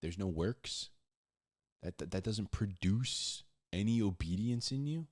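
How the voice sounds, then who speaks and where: A man's voice: on the low side, animated, unhurried, darkish tone. A man giving casual, conversational Bible teaching in slangy, informal speech, repeating words for emphasis and acting out little dialogues. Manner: acts out imagined back-and-forth dialogues.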